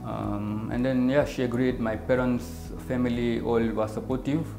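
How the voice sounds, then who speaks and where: A Kenyan man in his thirties, an optician and business owner, answering interview questions in a measured way, with many fillers. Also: mid-range voice, steady tone, vocal fry. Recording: echoey room with music behind.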